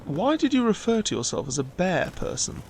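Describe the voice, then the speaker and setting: A man playing a dry, sceptical crewmate in a science-fiction audio drama, with a deadpan manner. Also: high-pitched, normal speed, a little hiss or hum.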